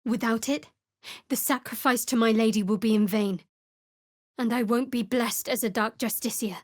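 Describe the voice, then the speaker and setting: Woman acting a guarded, sardonic young cleric, higher-pitched. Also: no echo, lightly acted. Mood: dry, assured. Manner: dry, measured, wry delivery. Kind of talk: fantasy video game voice acting.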